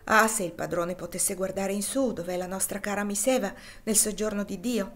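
A gentle, deeply devout older man talks softly and tenderly, pleading in a hushed way, as a woman reader puts him on. His voice sits at an ordinary middle pitch and is not theatrical. It is an audiobook reading. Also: fairly even intonation, moderate pace, natural voice.

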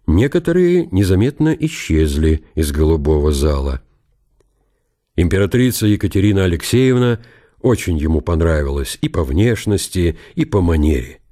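A man's voice: low voice, big pitch swings, moderate pace, mellow. A man narrating a historical radio drama in a dramatised literary style.

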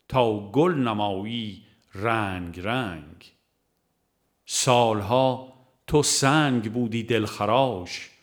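A man narrating a religious audiobook, reciting scripture and verse in a measured, formal way with pauses between phrases. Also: medium pitch.

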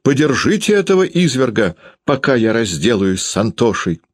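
Man as shrill passionate mother, shrieking exclamations, fierce, audiobook character, pitched far up, dry recording. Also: big pitch swings, extremely slow, dry recording, exaggerated voice.

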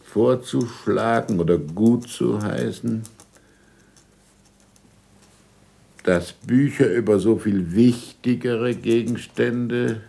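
In a 1960s radio drama, an ailing old male scholar held captive. He dictates very slowly, with deliberate halts, and his creaky voice swings widely in pitch between meekness and sly irony. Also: darkish tone, heavily acted. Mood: unctuous, measured. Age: older. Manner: slow, halting dictation with deliberate pauses. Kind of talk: 1960s German radio play acting.

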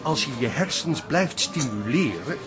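Pompous, highish-voiced Dutch professor. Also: male voice, lively intonation, measured pace. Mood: lecturing. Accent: Netherlands Dutch. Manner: pompous, lecturing. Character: pompous, self-satisfied male pub philosopher professor. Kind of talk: radio comedy-drama acting. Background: music.

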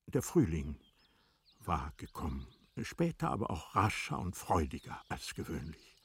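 A man reading literary narration for a radio drama in a measured, deliberate manner with dramatic pauses. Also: very deep voice, sing-song, husky, dry recording.

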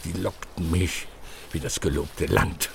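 An actor playing a prickly, pedantic older scientist in a German radio drama, speaking in a precise, abrupt, formal way. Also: male voice, sits low, fairly even intonation, unhurried, gravelly, a little hiss or hum, heavily acted. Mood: curious, musing. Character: old scientist, curt, prickly, pedantic, lonely man.

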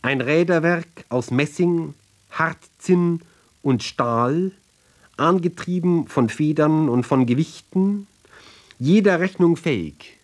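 Slow, deliberate man reading literature. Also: fairly high pitch. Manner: deliberate, sober, phrase-by-phrase delivery with pauses. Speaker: reader of literary ballads. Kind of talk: measured literary radio reading.

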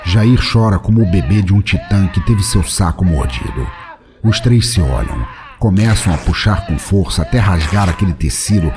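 A man's voice: sits low, expressive, moderate pace. A Brazilian man delivering dramatic scripted narration for an audio drama. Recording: narration over loud background music.

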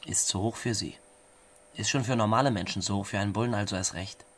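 A man's voice: on the low side, a little fast, a bit bright, slight background noise, natural voice. An actor playing a guarded, defiant young man in a radio play.

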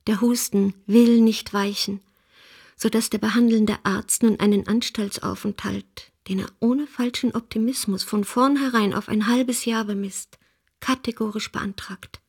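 A woman playing a brave, ailing young mother, reading her letters in a subdued, measured, formal way in a radio drama. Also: mid-range voice, steady tone, darkish tone, breathy edge. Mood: weary, grave.

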